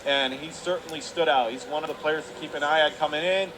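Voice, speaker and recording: High-pitched male hockey play-by-play commentator, noisy arena. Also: steady tone, fast. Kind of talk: live radio sports commentary. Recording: clear arena noise behind the voice.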